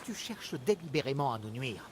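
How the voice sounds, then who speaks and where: A man's voice: mid-range voice, sing-song, moderate pace, slight background noise, natural voice. A man playing an indignant, accusing village magistrate in a video game drama. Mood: accusing anger. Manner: agitated, accusatory.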